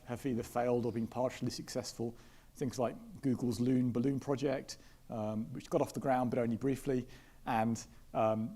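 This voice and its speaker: Man moderating a conference panel with a mellow voice. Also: mid-range voice. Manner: measured, with frequent fillers and restarts. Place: conference hall with live audience.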